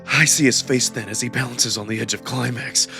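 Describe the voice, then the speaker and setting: Male narrator, medium register, for first-person visual novel drama. Expressive, emotive read with music underneath. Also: mid-range voice, brisk, creaky. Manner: expressive, acted delivery with emotional emphasis. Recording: music behind the voice.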